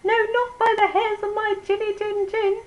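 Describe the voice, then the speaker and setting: A woman doing a shrill squealing little pig. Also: level tone, normal speed, lo-fi sound. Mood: pleading. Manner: shrill, pleading.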